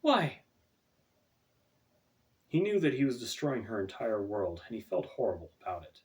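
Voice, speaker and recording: A man's voice: medium pitch, dark tone. A man reading his own fantasy novel as scripted audiobook narration, recorded away from the microphone.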